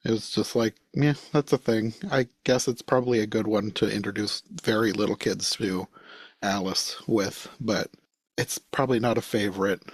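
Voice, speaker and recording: A man with a somewhat low voice hosts a casual review conversation. He talks informally as a podcast host. The recording is very dry, with no room sound. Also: fairly even intonation, normal speed, husky, dry recording.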